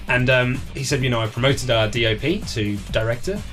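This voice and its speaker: A man's voice: medium pitch, a little fast, fairly bright tone. A British man in his thirties telling anecdotes in a casual interview, acting out quotes as he goes. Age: 30s. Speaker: interview guest, author. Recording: music playing behind the voice. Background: background music.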